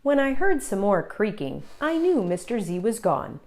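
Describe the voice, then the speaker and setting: A woman reading a children's picture book aloud as an expressive, theatrical narrator with dramatic pauses and emphasis. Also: mid-range voice. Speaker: children's story reader.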